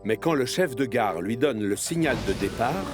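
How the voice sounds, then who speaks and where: Male narrator for kids' animated story: mid-range voice, scripted storytelling, music underneath. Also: mid-range voice, moderate pace. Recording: music behind the voice.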